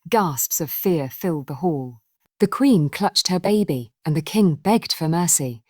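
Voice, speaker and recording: A woman with a low-pitched voice tells a children's fairy tale. Her delivery is expressive and measured, with lively rises and falls, and the recording is close and dry. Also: no room sound. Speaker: storyteller.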